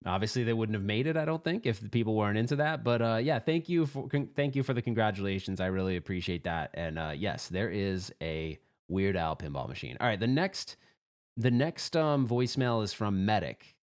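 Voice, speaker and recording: A man's voice: on the low side, lively intonation, fairly quick. A man hosting a podcast in casual, earnest, rambling chat with an upbeat manner. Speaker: host of a live pinball podcast. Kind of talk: casual chat, reacting to voicemails.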